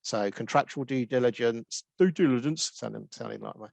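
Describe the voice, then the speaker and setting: A man with a Norwich accent, answering webinar questions as a guest expert with brisk explanations full of ums. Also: medium pitch, gravelly, darkish tone, dry recording. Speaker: guest expert on tax rules.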